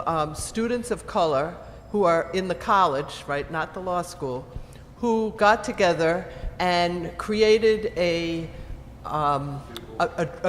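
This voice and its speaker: A woman professor on a panel, answering an audience question candidly and thoughtfully. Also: lowish voice. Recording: hall reverb with faint room noise.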